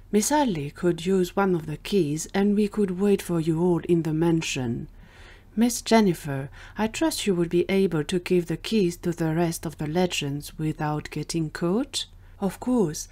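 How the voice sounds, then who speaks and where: Woman playing a low, courteous little male scientist. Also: mellow. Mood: earnest, polite. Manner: formal, measured. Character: polite, formal, nervous tiny male scientist.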